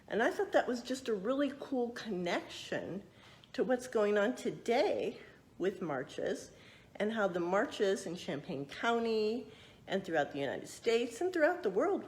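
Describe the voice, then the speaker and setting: A woman tells a story to young listeners in a very animated, mid-pitched voice. She reads expressively from a picture book and steps out of the text to explain things and ask questions. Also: poor audio. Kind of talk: read-aloud storytelling with explanatory asides. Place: museum exhibit space.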